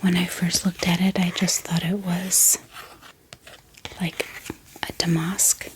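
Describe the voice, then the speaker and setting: A woman hosting an ASMR channel, speaking in a gentle, hushed whisper with pauses. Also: on the low side, flat delivery, noisy background. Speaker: ASMR book channel host. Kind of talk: soft-spoken whispered ASMR.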